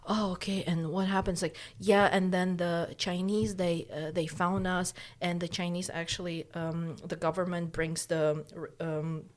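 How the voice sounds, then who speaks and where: A woman's voice: fairly low pitch, little pitch movement, a little slow, hardly any pauses. A woman from Uzbekistan whose native language is Russian, chatting casually as a podcast co-host and telling stories in a playful, teasing way. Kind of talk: casual chat, animated storytelling.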